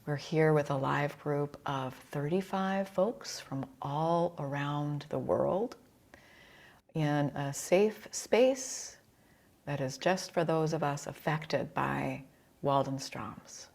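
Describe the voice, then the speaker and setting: A woman leading a guided relaxation as a yoga instructor, soothing and unhurried, with pauses between phrases. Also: low voice, vocal fry, darkish tone.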